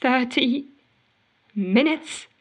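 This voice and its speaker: High-voiced, exasperated, frantic woman. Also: very slow, heavily acted. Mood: indignant, fed up. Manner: melodramatic, indignant storytelling with sarcastic quoting. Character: exasperated, frantic office woman ranting to a colleague. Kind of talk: audio fiction monologue as a voicemail message.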